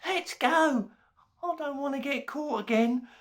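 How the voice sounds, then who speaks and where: A man voicing a small, frightened young tooth creature in a high, whimpering voice. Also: a little slow.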